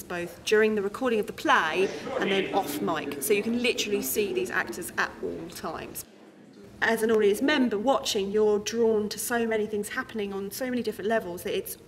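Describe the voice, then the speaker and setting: A woman from a theatre creative team explaining a production in a documentary interview. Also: mid-range voice. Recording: music playing behind the voice. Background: background music.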